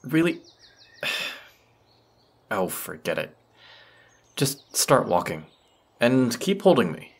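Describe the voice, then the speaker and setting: A man's voice: mid-range voice, very animated, slow, pauses often, husky, exaggerated voice. A man playing a grumpy, haughty century-old teapot spirit in an audio roleplay, scolding the listener with put-upon sarcasm. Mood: bossy, exasperated.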